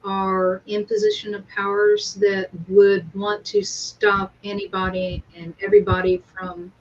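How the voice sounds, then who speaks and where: A woman's voice: mid-range voice, a little slow, gravelly, a little hiss or hum. Woman guest, dull lo-fi sound. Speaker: guest on an online talk show. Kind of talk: casual conversational discussion. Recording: dull, lo-fi call audio.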